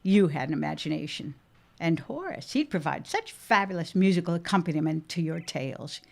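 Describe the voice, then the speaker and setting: Stubborn, sentimental mother, swooping low voice. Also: lively intonation, fairly quick, vocal fry, heavily acted. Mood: wistful. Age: middle-aged. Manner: theatrical, insistent, old-fashioned phrasing. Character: stubborn, sentimental widowed mother in 1910s Oregon. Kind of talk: period audio drama acting.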